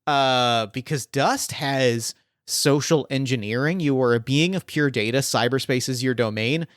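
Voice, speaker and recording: A man improvising narration as a game master in a tabletop roleplaying game, haltingly thinking aloud as he builds each detail. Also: medium pitch, a bit bright, no room sound, studio quality.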